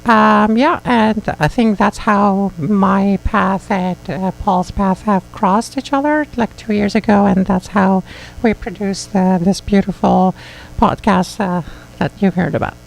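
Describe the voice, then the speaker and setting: A woman from Syria, a radio guest who speaks hesitantly with many pauses. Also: medium pitch, fairly even intonation, creaky, rough recording. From Aleppo, Syria. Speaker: activist and guest. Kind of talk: radio interview. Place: radio studio.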